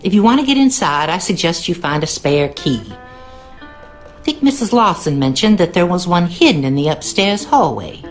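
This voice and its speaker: A woman's voice: lowish voice, animated, moderate pace, faint music behind, close to an everyday voice. An actress playing a wry, superstitious cleaning woman in a video game, with a Southern US accent and a knowing, ominous way of talking. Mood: knowing, conspiratorial. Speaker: game character, cleaning lady. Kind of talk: video game character dialogue.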